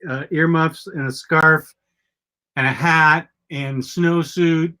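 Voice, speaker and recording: Sick guy hosting a live chat, kinda gravelly, rambly, restarts a lot. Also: on the high side, fairly even intonation, takes it slowly, pushed and bright. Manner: rambling, self-correcting, chatty. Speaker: podcast host.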